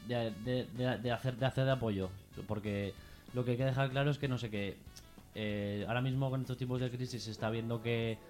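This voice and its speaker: A man's voice: mid-range voice, steady tone, brisk, a little mellow, faint music behind. A man with a Peninsular Spanish accent speaking informally as an activist guest in a radio interview, hesitating, rambling and repeating himself.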